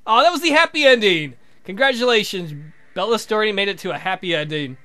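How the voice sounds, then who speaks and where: Guy doing casual lets play commentary, highish bright voice, sweary n jokey. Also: animated, brisk. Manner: sweary, joking. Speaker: let's play host. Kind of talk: casual game playthrough commentary and narration.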